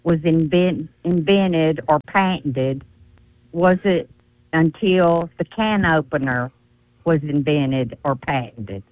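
A woman calling in to a radio show by phone, eager and excited to be on air. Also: deep voice, slow pace, no room sound. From United States. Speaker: caller. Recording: tinny phone line.

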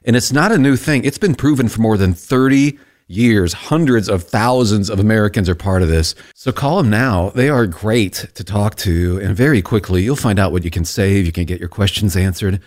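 A man reading a radio commercial, slowing down to repeat a phone number with emphasis. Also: on the low side, a little mellow. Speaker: ad announcer.

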